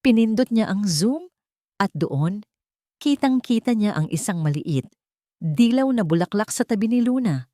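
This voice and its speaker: A woman's voice: mid-range voice, expressive, a little slow, mellow, no echo, studio quality. A woman giving a dramatic scripted narration of an audio drama.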